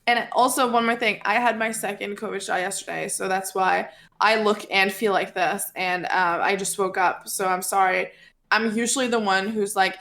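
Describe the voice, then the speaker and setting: Casting: female podcast co-host, twenties, native Norwegian, creaky voice, mid pitch, self-deprecating casual chat. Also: fairly even intonation, normal speed, a bit bright. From Norway. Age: 20s.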